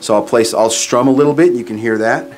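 A man's voice: mid-range voice, fast, over music. A man in his forties giving a casual, matter-of-fact product demo as a gigging musician. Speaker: musician reviewing live sound gear. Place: small curtained home studio, indoors. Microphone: microphone on boom stand into PA, plus some room sound. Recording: clear background noise, some room sound.

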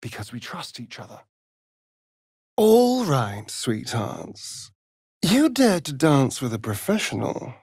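A flamboyant, sardonic vampire aristocrat from a fantasy video game, with an English accent. His delivery is theatrical and drawling, leaping up and down in pitch with arch relish. It is recorded close and dry, at an unhurried pace. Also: wildly swooping pitch, a little mellow, slightly rough, no room sound, full character voice. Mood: dramatic, teasing.

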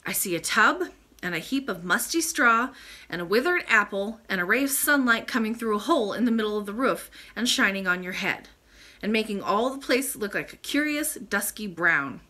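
A woman's voice: medium pitch, animated, moderate pace, pushed and bright. A woman voicing a stubborn, plain-spoken young boy in a storybook, blunt and sulky in manner.

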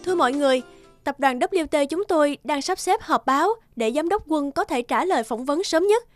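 A woman's voice: sits high, animated, brisk, no room sound, faint music behind. An actress playing a poised, attentive young female corporate secretary in a cartoon drama, polite and efficient. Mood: composed. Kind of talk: animated drama dubbing.

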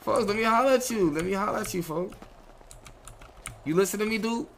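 A high-voiced man streaming a street role-play game. He talks in brash slang and hammers phrases by repeating them. There is clear noise and vehicle ambience behind him. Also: brisk, a bit bright. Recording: noisy gaming mic, vehicle ambience.

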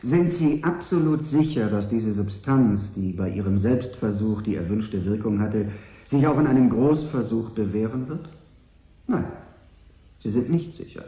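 An actor playing a smooth, polite but ruthless consortium agent in a radio drama, articulate, wry and persuasive. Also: male voice, lowish voice, a little slow, warm tone, reverberant. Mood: insistent, persuasive. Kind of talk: 1980s German radio drama acting.

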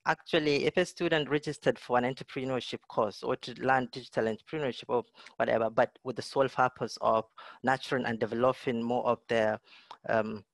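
A middle-aged man delivers a lecture over a video call from indoors. His voice sits at an ordinary middle pitch and sounds dry and close, with no room echo. He talks in a thoughtful, searching way, often saying "you know" and repeating words. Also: fairly even intonation, brisk, gravelly. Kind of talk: online lecture with slides. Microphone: video call webcam.

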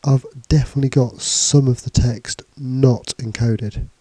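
Man, bunged up with a cold, dark mellow voice, slightly raspy, casual hesitant teaching, dry close recording. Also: mid-range voice, steady tone, a little slow, no room sound. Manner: casual, hesitant with fillers and restarts. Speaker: computing teacher. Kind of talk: screencast tutorial. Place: indoors, voice-over on slides and screen recording.

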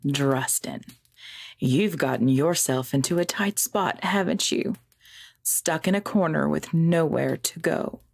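Woman acting a low, slow, commanding vampire queen. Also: gravelly, full character voice. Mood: commanding, menacing. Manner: measured, formal, imperious. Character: commanding, possessive female vampire ruler and lover. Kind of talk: ASMR roleplay audio drama.

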